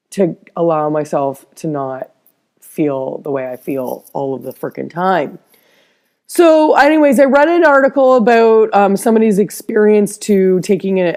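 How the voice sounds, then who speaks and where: A woman's voice: lowish voice, mellow. A woman with a stuffed-up nose talking in a casual personal vlog, rambling with frequent restarts. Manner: rambling, halting, many restarts. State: stuffed-up nose, slight cough. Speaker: interior designer vlogger.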